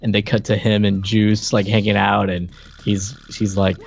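A man co-hosting a podcast, reviewing a game in casual chat and thinking aloud with halting, repeated words. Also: lowish voice, flat delivery. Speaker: podcast co-host. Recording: clearly noisy background with clicks.

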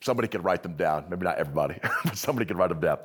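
A man preaching to a live congregation, energetic and conversational, often checking in with the audience. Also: low-pitched, racing, breathy edge. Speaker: pastor. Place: church service with audience.

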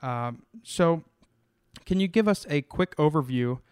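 A man's voice: fairly high pitch, animated, unhurried, darkish tone, clean tone, dry recording, clean studio sound. A man hosting a podcast, chatting casually about scripture, with many fillers and restarts. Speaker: podcast host.

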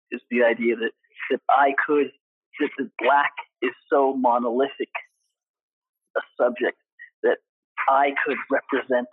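A man speaking reflectively in conversation, halting and deliberate, repeating words as he thinks. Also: medium pitch, breathy edge, dry recording. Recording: thin, phone-like narrow band sound.

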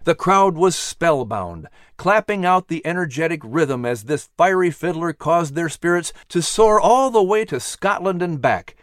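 A man narrating a story in an animated, dramatic, expressive style. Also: fairly high pitch, measured pace, few pauses, dry recording, studio quality. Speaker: audiobook narrator.

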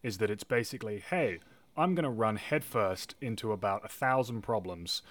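A man's voice: fairly low pitch, lively intonation, normal speed, dry recording. A man hosting a casual podcast interview, thinking aloud and rephrasing as he goes.

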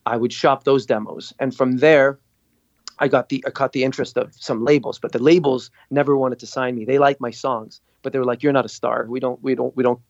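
A man from Lebanon, a guest on a podcast, telling his story in a lively way and acting out what others said. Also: mid-range voice, fairly quick, no echo, muffled recording. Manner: animated storyteller, acts out quotes. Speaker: guest, singer and producer. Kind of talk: casual interview storytelling.

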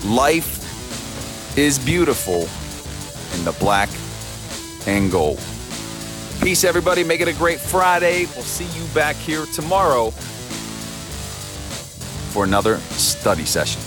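A man's voice: on the high side, big pitch swings, unhurried, long pauses, over music. Upbeat man hosting a sports podcast. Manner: upbeat, chatty analysis with a cheerful sign-off. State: voice temporarily husky and lower than his norm. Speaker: podcast host. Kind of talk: solo sports podcast commentary.

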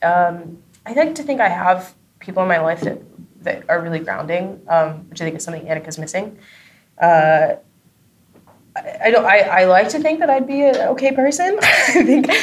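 A woman giving casual, chatty interview answers as a guest, with informal phrasing and frequent tag questions. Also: deep voice. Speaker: interview guest, audio drama creator and comedy performer. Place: on location at a film festival.

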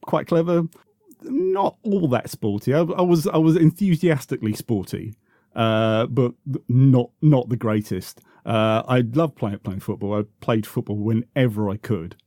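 A man's voice: mid-range voice, animated, measured pace, warm tone, no echo, studio quality. A man in his fifties with a London accent, reminiscing casually in an interview, hesitant and often correcting himself as he rambles along. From South London, England. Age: 50s. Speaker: interview guest, football podcaster.

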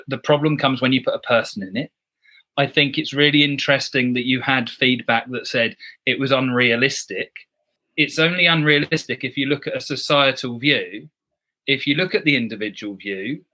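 A man's voice: mid-range voice, pushed and bright, poor audio. A man who is a driving instructor guest on a podcast, talking through his view in a reflective way. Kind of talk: podcast discussion.

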